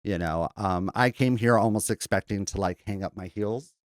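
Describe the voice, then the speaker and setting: A man with a low bass singer's voice, chatting casually as a podcast guest in an effusive, campy, rambling way. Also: normal speed, dry recording, clean studio sound. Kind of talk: casual chat, personal storytelling.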